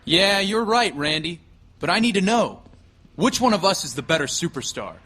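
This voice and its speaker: A man's voice: sits high, big pitch swings, normal speed, pushed and bright, heavily acted. A man playing an aggrieved, argumentative tag-team wrestler in a video game cutscene.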